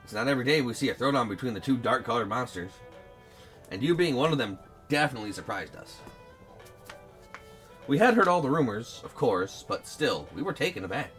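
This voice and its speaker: A man's voice: mid-range voice, lively intonation, a little fast, a bit bright. A man hosting a game playthrough, reading dialogue aloud and adding his own commentary. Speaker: let's play host. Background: game music.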